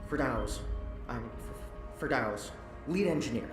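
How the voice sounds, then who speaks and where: A woman's voice: extremely low pitch, animated, slow, heavily acted. An actress playing a nervous young female engineer who stammers and rambles. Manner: stammering, jittery and talkative. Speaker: lead engineer. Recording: roomy and distant with game music.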